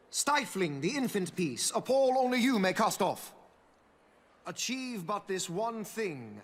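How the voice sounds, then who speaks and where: An actor playing an eloquent, calculating imperial consul, speaking in a stately, formal, theatrical manner for a video game. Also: male voice, high voice, a bit bright, heavily acted. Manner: stately, eloquent, measured oratory. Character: smooth, regal adult male imperial consul, eloquent and calculating.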